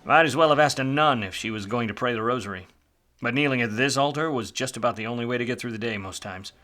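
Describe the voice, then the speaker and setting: An actor playing a weary, wisecracking 1940s private detective in a film noir radio play, with a wry, slangy manner. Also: male voice, mid-range voice, fast, pushed and bright, close to an everyday voice. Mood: wry, hard-boiled. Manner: wry, hard-boiled, slangy.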